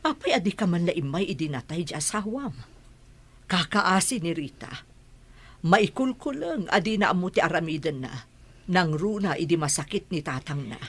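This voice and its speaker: A woman with an Ilocano accent playing a blunt, reproachful village woman with a husky voice in a radio drama. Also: on the low side, animated, normal speed.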